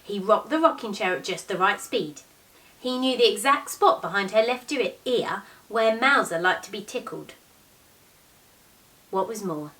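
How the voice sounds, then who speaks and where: A woman in her thirties reads a children's storybook aloud in an expressive way, with deliberate, measured phrasing. Her voice sits at a medium pitch and is picked up from some distance away in a living room. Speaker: teacher reading a picture book to pupils. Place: small living room, indoors, on a sofa. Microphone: camera a few metres away.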